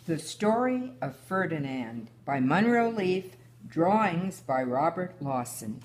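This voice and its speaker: A woman's voice: on the low side, big pitch swings, slow pace, darkish tone. An older woman reading a children's picture book aloud as a guest storyteller.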